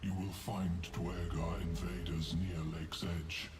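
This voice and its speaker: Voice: low voice, little pitch movement, slow pace. An actor voicing an ancient, solemn fungus sovereign in a video game, speaking in grave, terse pronouncements. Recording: loud game music behind.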